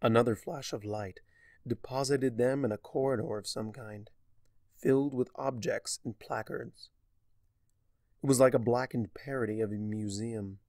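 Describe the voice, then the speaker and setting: Gravelly man narrating a dark story. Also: medium pitch, steady tone, normal speed, no echo, studio quality. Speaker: fan fiction narrator. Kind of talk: dramatic scripted story narration.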